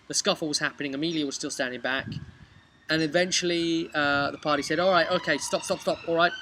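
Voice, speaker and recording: A man in his thirties talking casually to camera as a game master, conversational and a little halting, thinking aloud as he goes. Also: a little high, fairly even intonation, background noise. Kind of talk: casual vlog recap of a role-playing session. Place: outdoors on a bench beside a brick house.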